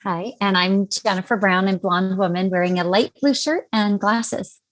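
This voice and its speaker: A woman's voice: medium pitch, normal speed, no room sound. A woman guest author answering interview questions thoughtfully.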